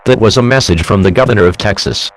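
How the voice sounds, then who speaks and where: A man's voice: on the low side, quick pace. A synthetic male voice playing an impersonal emergency broadcast announcer, formal and detached. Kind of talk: scripted emergency alert announcement.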